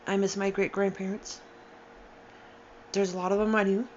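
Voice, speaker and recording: A woman's voice: mid-range voice, flat delivery, darkish tone, a little hiss or hum. Weary woman in her forties, muffled recording. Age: 40s. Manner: halting, emotional, with sighs and restarts. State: tired and upset. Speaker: storyteller sharing a personal account. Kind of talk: unscripted personal testimony.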